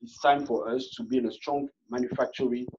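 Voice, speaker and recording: A man from Cameroon, a guest in a panel discussion, who talks in a halting way with many fillers and restarts. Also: medium pitch, fairly even intonation, darkish tone, slight background noise. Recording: muffled online call audio.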